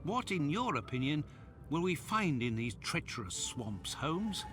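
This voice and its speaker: A genteel, fussy companion to a detective in a video game. His pitch is a little high and swings expressively as he speaks politely. Clear music runs underneath. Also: a little slow. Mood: uneasy. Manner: polite, expressive, slightly fretful. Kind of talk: video game cutscene acting.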